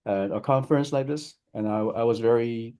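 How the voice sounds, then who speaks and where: A man's voice: medium pitch, normal speed, dark tone, clear, resonant tone. A Chinese man speaking as a guest on a video call, friendly and hesitant, often restarting his sentences. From China. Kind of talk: casual remarks on a video call.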